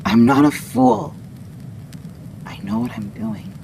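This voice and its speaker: Woman acting a slow, very creaky protective wendigo. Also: very animated, full character voice. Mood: cold, firm. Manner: halting, deliberate. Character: friendly female wendigo, fiercely protective, haunted by her past. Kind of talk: ASMR roleplay audio drama. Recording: noisy low background ambience.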